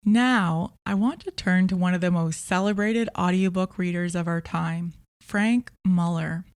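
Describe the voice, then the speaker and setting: A woman presenting a scripted podcast review in a measured, clearly phrased way as the host. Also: on the low side, dry recording, clean studio sound.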